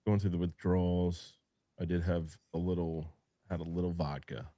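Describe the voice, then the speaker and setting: A man co-hosting a podcast, talking in a deadpan, mumbling way as he teases his friends in casual banter. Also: deep voice, normal speed.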